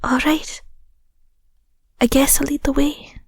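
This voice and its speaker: A woman voicing an affectionate, bashful young Scottish werewolf girlfriend in an intimate audio roleplay, soft, whispery and a little hesitant, close on the mic. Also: high voice, little pitch movement, takes it slowly, gravelly, dry recording, full character voice. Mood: playful, gentle. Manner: hesitant, stammering, murmuring endearments. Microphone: close microphone.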